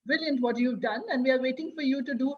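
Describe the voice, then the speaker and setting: A woman's voice: high-pitched, quick pace, muffled recording, a little hiss or hum. A woman hosting a live talk show, backing up her guest with short acknowledgements. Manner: frequent short backchannels. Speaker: host.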